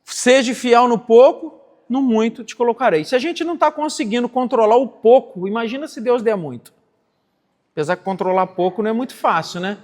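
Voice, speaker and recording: A man's voice: very high-pitched, a little fast, in a large hall. A man in his forties, a pastor teaching a sermon in a church, emphatic and repeating phrases for stress. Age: 40s. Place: church sanctuary.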